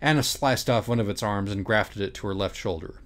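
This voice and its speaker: A low male voice narrates a comic audiobook. He delivers it with mock gravity and a theatrical, literary flourish. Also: a little fast, a bit bright. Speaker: audiobook reader. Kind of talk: dramatic, profane comic narration of a parody children's book.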